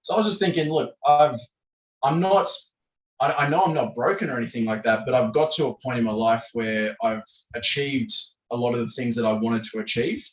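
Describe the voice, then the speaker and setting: Croaky man in an echoey room. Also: mid-range voice, fairly quick, lo-fi sound. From Australia. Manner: rambling, hesitant, many fillers and restarts. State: croaky voice, clearing throat. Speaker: podcast guest. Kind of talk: casual interview answers. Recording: echoey room, distant from the mic.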